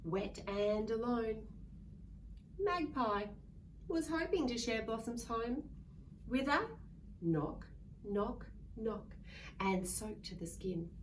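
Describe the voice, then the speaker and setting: A woman reading a picture book aloud to young children in a playful, expressive way, drawing out words and acting out sounds. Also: fairly high pitch, takes it slowly, pauses often. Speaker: children's story reader. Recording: distant mic with faint background noise.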